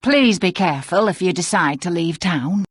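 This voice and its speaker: A woman's voice: fairly low pitch, lively intonation, moderate pace, husky, dry recording. An actress playing a polite, concerned townswoman in a fantasy game, speaking with courteous care. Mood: welcoming, concerned. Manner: courteous, careful scripted delivery. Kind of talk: fantasy game character acting.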